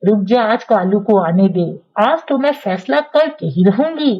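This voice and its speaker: A woman's voice: mid-range voice, unhurried, close to an everyday voice. A woman voicing a bitter, nagging older female crow mother-in-law in a cartoon story, harsh and lamenting. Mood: angry scolding. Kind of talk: Hindi cartoon moral story dialogue. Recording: dull, lo-fi sound.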